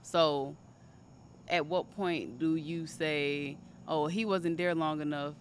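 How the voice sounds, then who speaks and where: A woman in her twenties in casual conversation, telling a personal story in an animated way. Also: low-pitched, slow pace, slight background noise. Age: 20s. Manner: animated storytelling, quotes others in raised voice. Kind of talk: casual chat.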